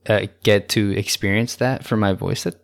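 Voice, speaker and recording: A young man with a low, gravelly voice hosts his own podcast and talks through his thoughts alone. His delivery is calm and easygoing. He rambles off on little rants, full of fillers and restarts. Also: moderate pace. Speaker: podcast host. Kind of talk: casual solo podcast monologue.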